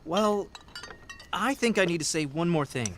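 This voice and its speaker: An idealistic government official with a fairly high, very animated voice. He is formal and earnest as he persuades, in an audio drama with faint noise behind. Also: unhurried. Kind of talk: naturalistic audio drama dialogue.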